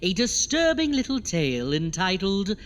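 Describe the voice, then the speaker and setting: Swooping macabre horror-show host. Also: male voice, sits high, big pitch swings, moderate pace, fairly bright tone. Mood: sly showmanship. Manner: theatrical, exasperated, alliterative. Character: gleefully macabre theatrical ghoul doctor, horror show host. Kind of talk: campy horror host introductions. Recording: reverberant hall with music behind.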